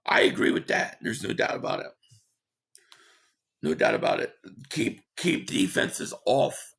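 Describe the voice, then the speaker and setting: A man hosting a sports talk livestream, hoarse and losing his voice, reading chat comments and giving rambling, opinionated takes. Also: unhurried, a bit bright. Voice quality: hoarse, gravelly. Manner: rambling, opinionated, repeats himself.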